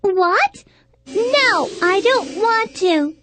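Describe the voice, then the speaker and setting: A woman's voice: very high voice, animated, very slow, a bit bright, a little hiss or hum, full character voice. A woman playing a young, spoiled and squeamish princess in a storybook, with petulant, theatrical delivery. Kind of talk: storybook voice acting.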